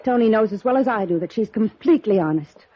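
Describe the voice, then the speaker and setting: A woman's voice: fairly high pitch, expressive, fast talker, no echo. An actress playing an earnest, trusting woman, emotional and dismayed, in 1950s radio drama style. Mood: defensive. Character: earnest, trusting, distraught wealthy woman duped by medium. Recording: muffled old radio recording.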